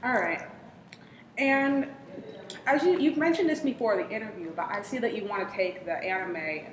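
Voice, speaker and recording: Lively-pitched woman interviewer in echoey room. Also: moderate pace. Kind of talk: casual interview questions. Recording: echoey room with faint noise.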